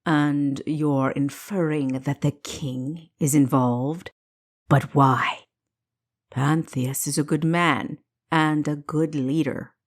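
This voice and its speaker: A woman voicing a wary, caring male doctor in an audiobook, speaking with deliberate care. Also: low voice, fairly even intonation. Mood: troubled, doubtful.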